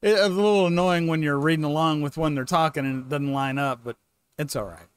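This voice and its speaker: A man's voice: fairly high pitch, quick pace, clean tone. A middle-aged man hosting a reaction channel, giving casual commentary into a close desk microphone.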